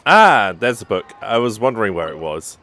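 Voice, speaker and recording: A woman's voice: extremely low pitch, sing-song, normal speed, a bit bright. A woman giving casual commentary as she plays through a game. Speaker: gameplay streamer. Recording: faint game music behind.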